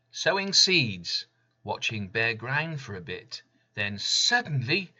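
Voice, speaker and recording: Middle-aged male poet, spoken word: slow, clipped, emphatic lines, intense sharp tone, slightly muffled recording. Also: mid-range voice. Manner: measured, emphatic delivery in short clipped phrases. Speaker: poet reading own work. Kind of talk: spoken-word poetry reading.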